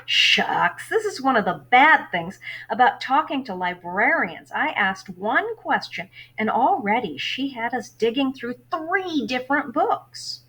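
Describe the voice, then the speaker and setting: A woman's voice: fairly high pitch, sing-song, a little slow, few pauses, pushed and bright, husky, voice pushed up, full character voice, low-quality recording. A woman reading a children's novel aloud as a plainspoken, exasperated young orphan boy who grumbles in folksy words. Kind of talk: first-person children's novel read-aloud.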